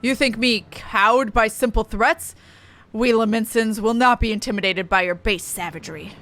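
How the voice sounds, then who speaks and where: A woman's voice: on the high side, moderate pace, fairly bright tone. A woman voicing a haughty, indignant noble captive from a video game, pompous and formal. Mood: defiant. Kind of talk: video game dialogue read aloud.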